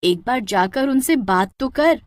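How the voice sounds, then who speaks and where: A woman plays an eager young college girl in a cartoon story, high and swooping in pitch. She is emphatic and encouraging, pushing her point with bright exclamations, in a close, dry recording. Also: expressive, a little slow, dry recording. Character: excitable, encouraging college-girl best friend. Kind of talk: animated cartoon story dialogue.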